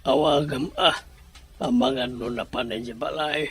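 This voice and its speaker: A man's voice: mid-range voice, steady tone, normal speed, slightly rough. A man playing a mildly concerned, matter-of-fact husband in an Ilocano audio drama.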